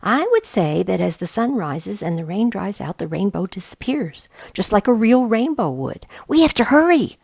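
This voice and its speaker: A woman's voice: mid-range voice, very animated, moderate pace, gravelly, few pauses, darkish tone, slightly rough, pitched above the performer's own voice, exaggerated voice. A woman voicing a thoughtful, sensible, bookish young boy in a children's storybook, earnest and reasoning. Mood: earnest, concerned.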